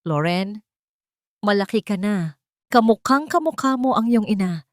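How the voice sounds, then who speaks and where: A woman's voice: medium pitch, lively intonation, a little slow, no echo, studio quality, pitched above the performer's own voice, natural voice. A woman voicing a grieving, frightened old man confessing what he witnessed in an audio drama story. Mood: sad, grave.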